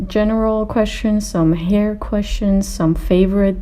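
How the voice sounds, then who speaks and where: Woman, 20s, video creator. Very soft-edged, dark tone, informal rambling Q&A delivery, a little hesitant. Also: medium pitch, fairly even intonation, a little slow. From Austria and Greece. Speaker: video creator answering viewer questions. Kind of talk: casual chat to camera.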